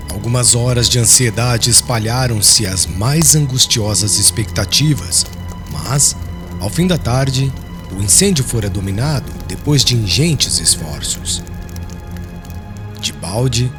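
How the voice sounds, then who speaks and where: A man with a Brazilian accent narrating an audiobook in a solemn, measured, dramatic literary style. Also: mid-range voice.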